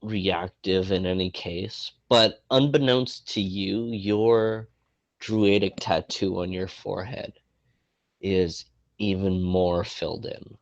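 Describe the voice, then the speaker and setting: A low-voiced man runs a tabletop game, his narration unhurried and broken by many ums and restarts. He sounds congested, and the recording is dry with little room sound. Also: fairly even intonation, no room sound, muffled recording. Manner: halting, filler-heavy narration. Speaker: game master.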